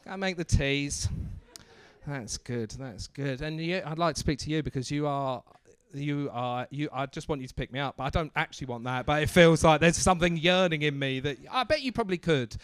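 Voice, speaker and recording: A man doing stand-up, teasing audience members in quick, joking crowd work. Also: on the high side, fairly bright tone, clear, resonant tone, no room sound. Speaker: stand-up comedian.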